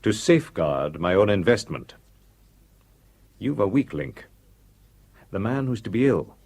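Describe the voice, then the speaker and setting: An actor playing a cold, scheming conspirator who speaks in a measured, probing way. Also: male voice, lowish voice, big pitch swings, darkish tone, full character voice. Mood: cool. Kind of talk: 1970s radio drama acting.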